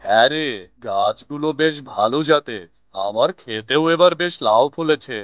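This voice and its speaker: A man voicing a friendly, kindly village farmer uncle in an animated moral story, with muffled sound. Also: medium pitch, moderate pace, no echo, natural voice.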